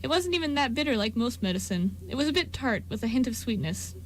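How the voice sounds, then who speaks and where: Fast, higher-voiced woman narrator. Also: animated, a little hiss or hum, poor audio. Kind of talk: scripted story narration for amateur radio drama.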